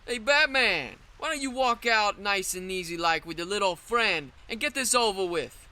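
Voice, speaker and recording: A man playing a menacing, gleeful gangster hitman in a comedic radio play, with a slow, mocking tough-guy delivery. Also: high-pitched, pushed and bright.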